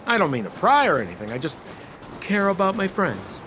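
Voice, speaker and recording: A shifty talkative game con artist, very animated, wheedling, some noise behind. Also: male voice, high-pitched, brisk. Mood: smooth, evasive. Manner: glib, dramatic. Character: shifty, chatty male con-man, theatrical and wheedling. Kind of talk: video game voice acting.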